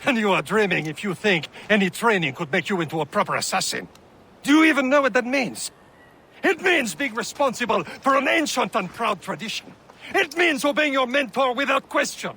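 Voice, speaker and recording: A man's voice: very high voice, expressive, a little fast, bright, forceful tone, slightly rough, heavily acted. A man voicing a pompous, scornful French nobleman sea captain with a French accent in a video game. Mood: scornful, lecturing. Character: haughty French nobleman sea captain and assassin, sharp-tongued. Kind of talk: video game cutscene acting.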